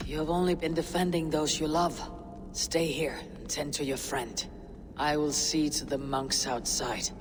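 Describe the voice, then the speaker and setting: Low-voiced, stern Viking warrior woman. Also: steady tone, unhurried, over music. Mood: blunt. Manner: blunt, terse, solemn. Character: Eivor, stern, weary young female Viking warrior leader. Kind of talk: video game cutscene acting.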